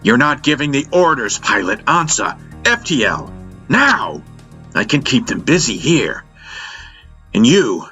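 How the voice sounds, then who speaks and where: A man's voice: medium pitch, sing-song, unhurried, pushed and bright, voice pushed up. A man voicing a reckless, defiant male infiltrator in a sci-fi audiobook, urgent and argumentative, over music. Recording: music bed under the voice.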